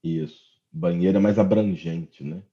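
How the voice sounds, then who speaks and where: A man's voice: sits low, measured pace, dull audio. A Brazilian man co-hosting a podcast, chatting casually. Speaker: podcast co-host. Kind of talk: casual chat.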